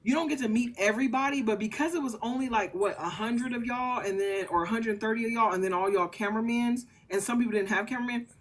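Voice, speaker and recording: A woman's voice: a little high, fast, few pauses. A woman in her thirties, a guest in an interview, talking at length in an earnest, rambling way. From Louisiana family. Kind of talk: casual interview chat.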